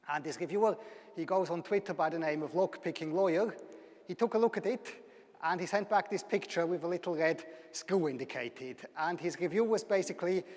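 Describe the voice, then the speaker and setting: A man with a Swiss German accent giving a public lecture, telling stories with dry humour. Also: high-pitched. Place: large lecture hall. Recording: hall reverb.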